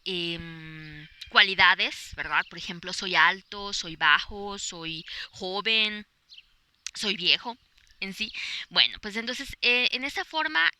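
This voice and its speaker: Bright Mexican woman teaching outdoors. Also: medium pitch, clear, resonant tone. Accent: Mexican Spanish. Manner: patient, explains slowly with many fillers and examples. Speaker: Spanish teacher. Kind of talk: outdoor podcast lesson. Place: outdoors in a park.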